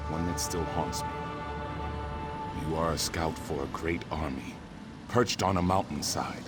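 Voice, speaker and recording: An actor playing an ancient, wise and haunted warrior spirit in a video game, speaking in a grave, measured, formal way. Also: male voice, low-pitched, natural voice. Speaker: game character posing moral tests. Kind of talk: scripted video game voice acting. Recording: music under the voice.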